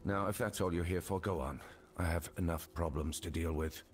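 An actor playing a brusque, world-weary, middle-aged port master in a video game, irritable and dismissive. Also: male voice, low voice, moderate pace, natural voice. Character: gruff, irritable male port master of a swamp island. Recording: noisy game ambience behind.

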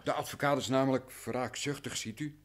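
An actor playing a cautious, worn-down merchant in a radio drama, explaining things formally and carefully. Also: male voice, medium pitch, fairly even intonation, fairly quick, lightly acted. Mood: cautious, secretive. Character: cautious, anxious, worn-down male grain merchant.

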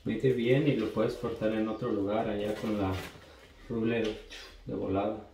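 Male DIY helper, low creaky voice, informal hands-on explanation, roomy bathroom sound with some background noise. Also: steady tone, a little slow, dark tone. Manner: casual, mixes in English words. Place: small bathroom.

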